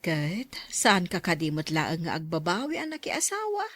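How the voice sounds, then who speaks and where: A woman's voice: on the low side, wildly swooping pitch, normal speed, a bit bright, no echo, full character voice. An actress playing a tender, concerned middle-aged mother in an audio drama, with an Ilocano Filipino accent.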